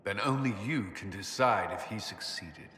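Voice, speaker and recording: An actor playing a stern, earnest army commander in a dramatic video game, speaking gravely and with measured delivery. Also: male voice, on the low side, sharp tone. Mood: resolute. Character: armoured military commander, stern, disappointed, earnest man. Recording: echoey stone hall reverb, noisy background.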